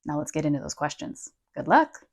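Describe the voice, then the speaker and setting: A woman's voice: deep voice, sing-song, a little fast, a little mellow. A woman presenting a scripted children's lesson as a speech therapist host.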